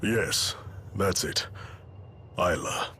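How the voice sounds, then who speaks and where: A male actor playing a big, gruff, regretful man in a video game, speaking gravely and haltingly. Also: sits low, expressive, bright, forceful tone, slight background noise, full character voice.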